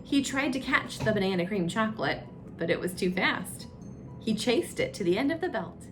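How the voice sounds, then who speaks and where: A woman's voice: medium pitch, lively intonation, moderate pace, music in the background. A woman reading a children's storybook aloud as a story time host, expressively, drawing out suspenseful phrases.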